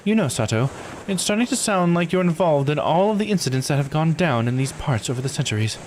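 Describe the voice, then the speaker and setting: Man putting on a curious, higher-pitched investigator. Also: sing-song, fast talker, a little mellow, slight background noise. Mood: intrigued. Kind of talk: visual novel character read-aloud.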